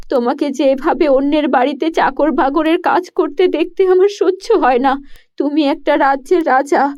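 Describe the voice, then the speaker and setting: A woman's voice: sits high, a little mellow, highs cut off, voice pushed up. A woman voicing a worried young queen in exile, speaking in a plaintive, fretful way.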